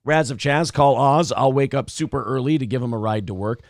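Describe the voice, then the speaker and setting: Casting note: male talk-show host, hoarse and raspy, mid-pitched, rambling and profane, dry close-mic studio sound. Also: fairly quick, dry recording. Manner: rambling, restarts, swears freely. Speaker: radio show host reading live chat. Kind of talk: casual chat.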